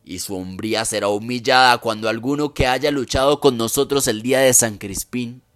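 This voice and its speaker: A man performing a dramatic Shakespeare monologue as a warrior king rallying his army, solemn and declamatory with weighty pauses. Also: mid-range voice, a bit bright, clear, resonant tone, no echo, studio quality, natural voice. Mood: fervent, solemn.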